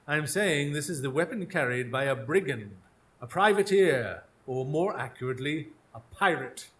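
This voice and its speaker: An actor playing a shrewd Victorian gentleman detective in a live radio drama, speaking in formal, articulate deductions. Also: on the high side, expressive, moderate pace. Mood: intense, brooding. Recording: noisy live stage recording.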